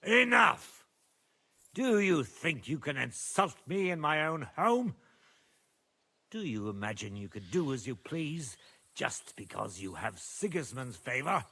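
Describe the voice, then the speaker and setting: A man's voice: mid-range voice, sing-song, unhurried, bright, forceful tone, husky, exaggerated voice. A man playing a commanding, sardonic middle-aged medieval lord and father in a dramatic video game. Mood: indignant.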